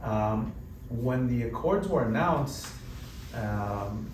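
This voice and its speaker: A man who grew up in the United States, speaking on a panel in a relaxed, conversational way with lots of "you know" fillers. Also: medium pitch, drawn-out words. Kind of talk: panel discussion. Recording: roomy hall, distant mic, background noise.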